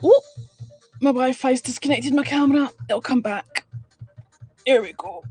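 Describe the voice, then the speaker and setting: A woman's voice: high-pitched, unhurried, darkish tone, husky, soft background music. A woman from Edinburgh with a Scottish accent, hosting a casual live stream and chatting bluntly and exasperatedly with viewers, swearing freely. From Scotland. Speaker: livestream host.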